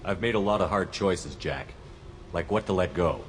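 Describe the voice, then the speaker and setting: A man's voice: sits low, moderate pace, close to an everyday voice. An actor voicing a hardened male starship commander who speaks firm and direct, in a game cutscene. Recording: game audio with faint ambient noise.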